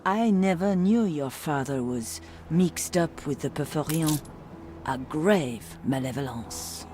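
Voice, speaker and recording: A woman's voice: low-pitched, expressive, warm tone, soft background music. An actress playing a solemn, mysterious wise woman giving cryptic counsel in a video game. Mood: grave, knowing. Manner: grave, deliberate, cryptic. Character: mysterious, solemn female harbor mistress and wise oracle. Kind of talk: dramatic video game dialogue.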